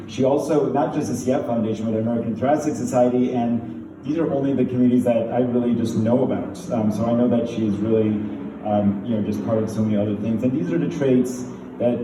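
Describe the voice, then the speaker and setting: Rambling man speaking from a distance. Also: medium pitch. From Turkey. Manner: rambling, many fillers and restarts, laughing warmly. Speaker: pulmonologist colleague giving tribute. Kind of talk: informal tribute speech. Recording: distant echoey room, faint noise.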